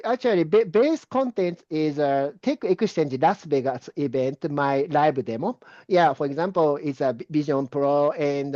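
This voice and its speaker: A man with a Japanese accent, a guest answering interview questions in halting English full of fillers and restarts. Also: fairly high pitch, darkish tone, dry recording, dull audio. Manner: hesitant, many fillers and restarts.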